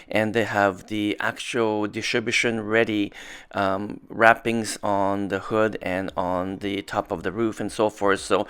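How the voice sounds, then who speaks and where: A middle-aged man speaks with a smooth, resonant voice that sits on the lower side. He hosts a casual vlog and walks through technical details step by step, with frequent filler pauses. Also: fairly bright tone. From Japan. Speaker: automotive video host.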